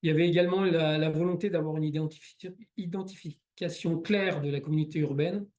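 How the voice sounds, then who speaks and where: Hesitant man with a higher, mellow voice. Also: fairly even intonation. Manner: hesitant, halting delivery with repeated words. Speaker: local authority staff presenter. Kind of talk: explanatory presentation with slides.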